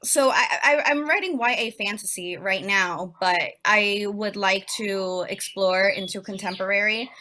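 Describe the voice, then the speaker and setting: A young woman from Peru, an author being interviewed, chatting casually with lots of "like" and quick laughs over a video call. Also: mid-range voice, sharp tone. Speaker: author guest.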